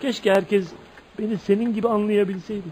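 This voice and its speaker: A man's voice: high voice, steady tone, fairly quick, mellow, close to an everyday voice. An actor playing a bitter, tormented outcast man of thirty-five in a radio play, with dramatic, emotional delivery. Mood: wistful, pleading. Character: tormented, bitter 35-year-old outcast man, brooding and volatile. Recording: noisy radio drama recording.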